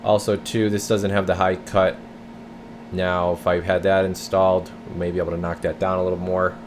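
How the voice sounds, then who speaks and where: A man's voice: deep voice, normal speed. A man casually narrating an unscripted technical demo, muttering and thinking aloud as he works. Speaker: radio technician demonstrating a CB radio. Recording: noisy room, steady hum of equipment.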